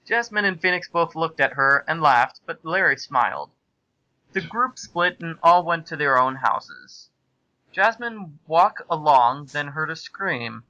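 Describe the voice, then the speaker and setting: Male narrator, theatrical and amused, piercingly bright tone, dry close sound, comic fan fiction reading. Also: a little high, lively intonation, measured pace, no room sound, highs cut off.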